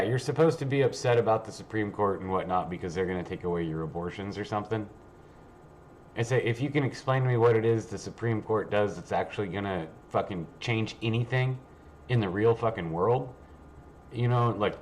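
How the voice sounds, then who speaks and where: A man with a lowish voice hosts a casual livestream. He rambles and reads aloud in a blunt, offhand, sweary manner. Faint noise sits behind him. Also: moderate pace, darkish tone. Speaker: livestream host.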